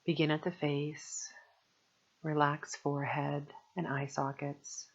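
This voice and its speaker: Low-fidelity recording of a soothing woman guiding meditation. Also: flat delivery, dull audio. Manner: soothing, gentle, measured with long pauses. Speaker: meditation and yoga teacher. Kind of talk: guided meditation narration.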